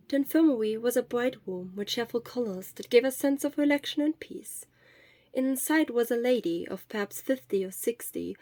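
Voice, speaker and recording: A woman's voice: medium pitch, a little mellow, dry recording. A woman reading scripted fiction expressively as a story narrator.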